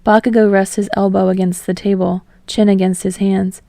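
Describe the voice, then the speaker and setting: A woman's voice: medium pitch, little pitch movement, moderate pace, vocal fry, warm tone, dry recording. A woman reading scripted fiction aloud as an audiobook narrator.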